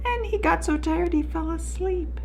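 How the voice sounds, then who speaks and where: A woman's voice: very high-pitched, moderate pace, creaky, a little mellow, slight background noise. A woman reading a picture book aloud to young children in a sing-song manner, putting questions to her listeners. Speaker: preschool teacher reading aloud.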